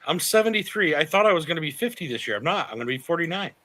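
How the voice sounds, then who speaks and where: Bright-voiced man in his forties. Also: fairly high pitch, animated, racing. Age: 40s. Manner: rambling, self-correcting, laughs often. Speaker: channel host. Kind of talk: casual livestream chat.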